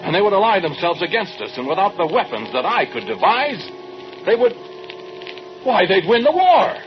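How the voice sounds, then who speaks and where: An actor playing an obsessed, fatalistic male scientist in an old-time radio drama, speaking haltingly and insistently. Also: sits high, very animated, faint music behind. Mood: agitated, paranoid. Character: obsessed, fatalistic male scientist who builds weapons, growing paranoid. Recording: muffled, noisy old radio recording.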